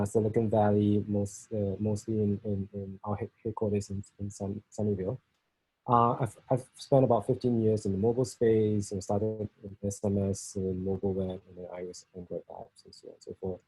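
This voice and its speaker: A middle-aged man from Singapore with a Singaporean accent, giving a talk over a video call, halting with many fillers and restarts. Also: fairly low pitch, level tone, a little mellow, breathy edge, no room sound, poor audio. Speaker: guest speaker.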